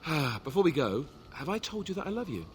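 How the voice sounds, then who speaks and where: An actor playing a lovestruck young warrior in a video game, earnest and wryly self-mocking. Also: male voice, fairly high pitch, very animated, fairly quick, slight background noise. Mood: affectionate, playful. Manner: earnest, teasing, wryly joking. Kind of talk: video game dialogue acting.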